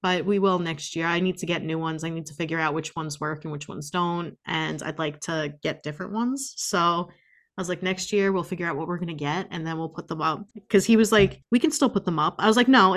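A woman with a slightly low voice chats as a podcast co-host. Her delivery is informal and conversational, like everyday talk between two people. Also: a little fast, fairly bright tone. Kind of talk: casual chat.

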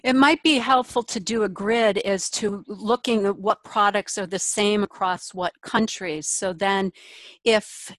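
A woman's voice: mid-range voice, unhurried, dry recording. A woman hosting a business interview, thinking aloud and giving advice through hypothetical examples. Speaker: podcast host.

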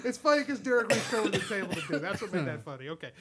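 A man's voice: high voice, big pitch swings, very fast, a loud crowd. A man hosting a crude comedy podcast, chatting casually in a rambling, repetitive way and swearing freely. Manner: rambling, repeats himself, swears. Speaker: podcast host.

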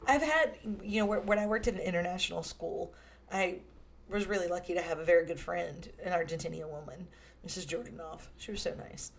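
Low-voiced woman in casual chat. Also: gravelly. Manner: chatty, quick to agree and laugh. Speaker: podcast co-host. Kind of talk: casual film discussion.

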